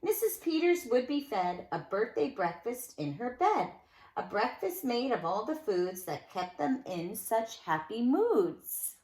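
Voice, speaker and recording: A woman reading a picture book aloud to young children in an expressive storytelling style, with dramatic emphasis and conversational asides. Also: a little high, measured pace. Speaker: nursery school teacher reading a storybook aloud. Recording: echoey room sound.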